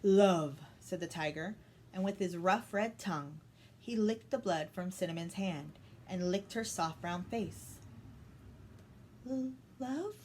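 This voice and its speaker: A woman's voice: on the low side, unhurried, a little mellow, a little hiss or hum. A woman storyteller reading a children's story expressively.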